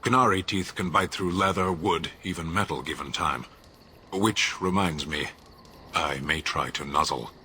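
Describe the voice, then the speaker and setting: An actor playing a stern, stoic giant warrior in a fantasy game, speaking in terse, deadpan, measured phrases. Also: male voice, deep voice, a little hiss or hum. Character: stern, stoic, huge male Qunari warrior. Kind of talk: fantasy video game voice acting.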